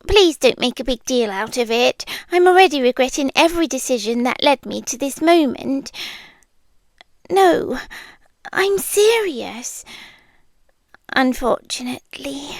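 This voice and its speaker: High, soft British monster girl, acted by a woman. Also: creaky, dry recording, clean studio sound, heavily acted. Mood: shy, flustered.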